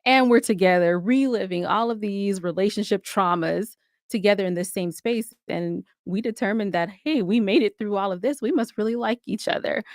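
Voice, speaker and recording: A woman's voice: fairly low pitch, no room sound, clean studio sound. A woman giving reflective, articulate answers as a guest in a conversational podcast interview. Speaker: podcast guest, audio drama co-creator and writer.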